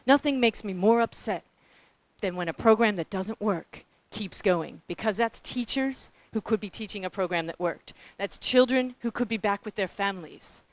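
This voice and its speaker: A woman's voice: mid-range voice, moderate pace. Blunt New Zealand woman on a panel. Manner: blunt, candid and animated, with asides to the audience. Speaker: panelist from a grantmaking foundation. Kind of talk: conference panel talk.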